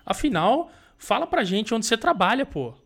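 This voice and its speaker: Brazilian male host, slightly high voice, warm and chatty, laughs easily. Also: big pitch swings, moderate pace, gravelly. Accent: Brazilian Portuguese. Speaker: podcast host. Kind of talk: casual interview chat.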